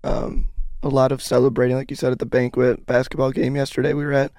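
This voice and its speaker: Young male radio co-host. Scratchy, slightly hoarse, flat intonation, dry close sound. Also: mid-range voice, fast, dry recording. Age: young adult. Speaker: radio sports show co-host. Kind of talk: casual chat.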